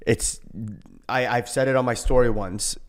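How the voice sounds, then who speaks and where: A man's voice: medium pitch, steady tone, moderate pace. A man from Korea who later moved to Canada, talking casually and emphatically as a podcast guest, often checking in with a tagged-on question. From born in Korea, later moved to Canada. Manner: emphatic, often tags sentences with "right?". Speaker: personal trainer and boxing coach, podcast guest.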